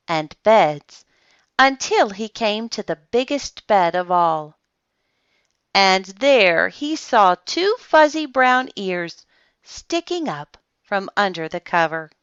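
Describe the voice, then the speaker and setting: A woman reading a children's story aloud, with dramatic pauses and deliberate emphasis. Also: medium pitch, sing-song, a bit bright, smooth, dry recording, lo-fi sound. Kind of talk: expressive children's storybook narration.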